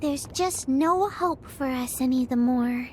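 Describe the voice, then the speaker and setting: Very high, cutesy creature sidekick. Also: female voice, measured pace, background noise. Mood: glum. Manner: quirky syntax with a misplaced "the". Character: small cute excitable childlike creature sidekick. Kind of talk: animated game cutscene acting.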